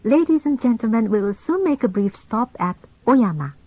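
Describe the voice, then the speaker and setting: A woman reading scripted onboard train announcements in a formal, measured way. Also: fairly high pitch, expressive, mellow, no echo. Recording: dull lo-fi old cassette tape.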